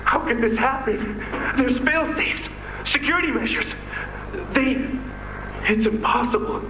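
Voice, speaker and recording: Panicked, high-pitched warden. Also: male voice, unhurried, roomy sound, highs cut off, slight background noise. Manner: pleading, breathless, stammering. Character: panicked, terrified male prison warden trapped during a rebellion. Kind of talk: dramatic video game voice acting.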